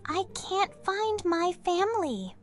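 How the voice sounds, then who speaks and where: Woman voicing a tiny, plaintive high-voiced firefly. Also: very animated, slow, full character voice. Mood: sad, lost. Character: tiny sad lost firefly.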